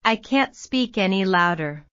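A woman modelling English phrases for learners, repeating each one slowly and then word by word. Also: mid-range voice, animated, a bit bright, smooth, no echo. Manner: very slow, carefully enunciated. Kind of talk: slow drill reading, word by word. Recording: dull, slightly lo-fi sound.

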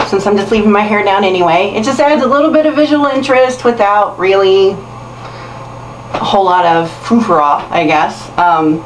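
A woman in her thirties giving casual how-to instruction to viewers, chatty and self-deprecating, recorded in a small bathroom on a camera mic. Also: mid-range voice. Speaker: hair tutorial host. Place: small tiled bathroom, indoors. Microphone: camera mic at a short distance. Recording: roomy, distant camera mic with hiss.

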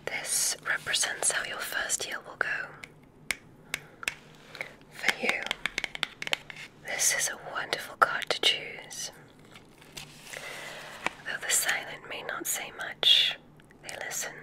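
A woman whispering an ASMR roleplay as a kindly witch examiner, giving gentle, reassuring instructions in a soft, unhurried way. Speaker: ASMR performer in a witch medical exam roleplay.

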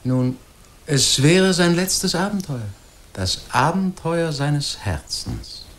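An actor playing an earnest, learned doctor in a literary radio drama, reflective, eloquent and emphatic. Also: male voice, on the high side, very animated, slow, a bit bright, full character voice. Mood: musing, earnest. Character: earnest, philosophical learned doctor, easily astonished. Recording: faint noise and ambience behind.

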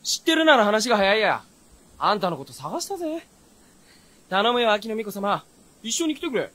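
A brash young servant in a period radio drama, cheeky and casual. The voice is high for a man and swoops around in a very animated way. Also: normal speed, exaggerated voice. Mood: breezy, pleading. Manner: casual, cheeky, rough speech. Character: brash, breezy, rough-spoken young male retainer.

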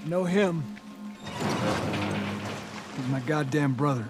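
A man playing a warm, folksy settlement man, someone's younger brother, with an American Southern accent in a video game drama. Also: on the high side, takes it slowly, over loud music. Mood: amazed, affectionate. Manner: folksy, informal, swearing.

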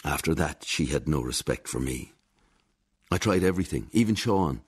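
An actor playing a defeated ex-husband in a radio drama monologue, measured and rueful as he confides. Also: extremely low pitch, lively intonation, breathy edge, full character voice.

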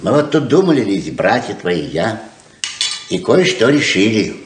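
A man's voice: lowish voice, big pitch swings, normal speed, slight background noise. An actor playing a stubborn, loving middle-aged father in a 1970s Soviet radio play, emphatic and persuasive, moving between tenderness and insistence. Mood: careful coaxing. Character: coaxing, stubborn, loving father of grown children. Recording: roomy 1970s radio drama recording.

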